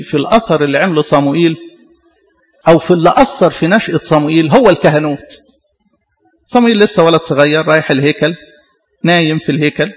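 A man's voice: on the high side, dull audio. A man with an Egyptian Arabic accent preaching a sermon, retelling scripture in colloquial speech in an emphatic, teaching manner full of rhetorical questions. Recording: reverberant hall with long echo.

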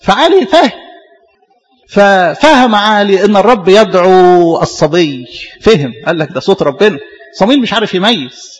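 A man preaches in an Egyptian Arabic accent, his voice pitched high and carried by long hall reverb. He moves quickly, repeating key phrases and posing rhetorical questions. The delivery is emphatic and instructive, mixing colloquial storytelling with scripture. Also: animated, highs cut off. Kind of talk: sermon retelling Bible stories in colloquial speech with scripture quotes.

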